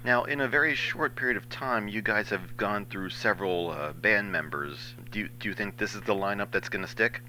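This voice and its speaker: A man conducting an interview, asking brisk, polite questions. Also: lowish voice, sharp tone, a little hiss or hum, poor audio. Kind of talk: radio-style interview.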